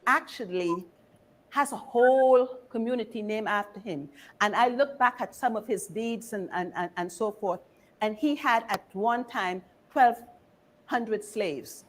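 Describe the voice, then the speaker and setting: A woman from Barbados giving an informal history lecture in a deliberate, engaging way, putting questions to her listeners. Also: fairly high pitch, slight background noise.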